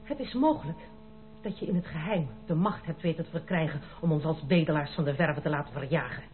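A woman's voice: deep voice, brisk, natural voice. An actress playing a proud young noblewoman in a Dutch radio play, speaking in a haughty, dramatic and formal way. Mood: cold, defiant. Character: proud young noblewoman, wounded, indignant, unyielding. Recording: muffled narrow-band radio play recording.

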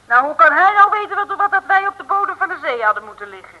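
An actress playing an excitable, naive female sidekick to a detective in an old Dutch radio play. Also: extremely high pitch, fast talker, dull audio. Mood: breathless, excitable. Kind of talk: 1950s-60s Dutch radio play acting.

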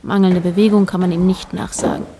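A woman narrating a literary radio drama in a dry, measured, quietly ironic manner. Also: on the low side, flat delivery, noisy background.

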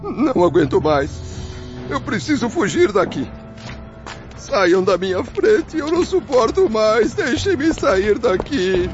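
A desperate, remorseful father in a radio drama, anguished and pleading. His highish voice swings wildly in pitch. The sound is dull and lo-fi, with music behind. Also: very animated, takes it slowly, full character voice. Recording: dull lo-fi audio under music.

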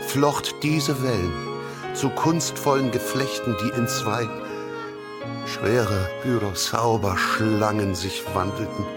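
Solemn storyteller reciting florid old prose, low and slow, loud music behind. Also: male voice, steady tone. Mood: solemn, rising. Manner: measured, deliberate phrasing. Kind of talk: slow literary recitation in radio play.

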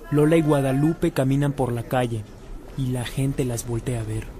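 Male narrator for radio drama: very mellow, mid-pitched, formal and measured. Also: fairly even intonation.

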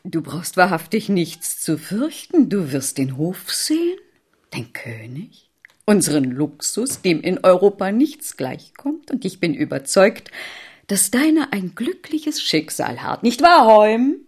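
Flattering schemer at court, husky and breathy, very animated, insinuating, radio play. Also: female voice, medium pitch, unhurried. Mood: coaxing. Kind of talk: radio drama acting.

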